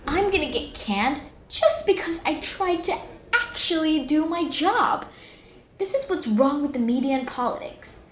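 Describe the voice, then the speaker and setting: A woman's voice: sits high, lively intonation, measured pace, reverberant, slight background noise. A woman playing an earnest, moralizing TV journalist in an audio drama, arguing emphatically and articulately. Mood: bitter, exasperated. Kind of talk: amateur audio drama acting.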